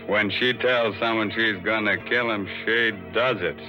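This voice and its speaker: A man's voice: on the low side, steady tone, a little slow, lightly acted. A man playing a macabre, teasing horror show host on 1940s radio, in a sardonic, mock-ghoulish manner. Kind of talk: 1940s radio mystery hosting. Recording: old 1940s radio recording, music behind.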